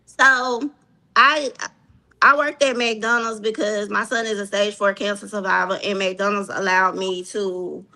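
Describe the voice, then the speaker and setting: Emphatic Southern woman, bright voice. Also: mid-range voice, fairly even intonation, measured pace, poor audio. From Mississippi. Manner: emphatic, repeats herself for emphasis. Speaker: panelist. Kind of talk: livestream panel chat.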